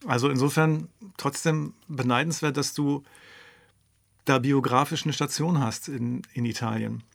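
A man's voice: mid-range voice, steady tone, unhurried, gravelly, no room sound, studio quality. A man hosting a podcast interview, chatty and curious, asking his guest leading questions. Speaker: podcast host. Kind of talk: conversational interview.

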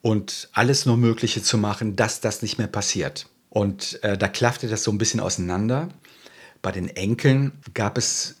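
A man answering interview questions in a thoughtful way, often pausing and searching for words. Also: fairly low pitch, husky. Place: Berlin.

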